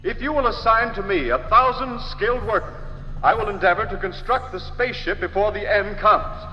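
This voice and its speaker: An actor playing a grave, impassioned alien scientist who warns of doom in urgent, declamatory 1940s radio drama style. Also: male voice, high-pitched, a little fast, pushed and bright. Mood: solemn, insistent. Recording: echoey hall on dull 1940s radio recording, music behind.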